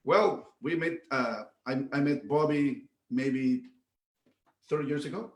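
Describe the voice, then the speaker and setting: A man's voice: mid-range voice, takes it slowly. A man from Mexico, a mariachi guitarist, chatting and explaining the music in a friendly way with many fillers. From Reynosa, Mexico. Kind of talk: casual stage talk. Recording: roomy echo.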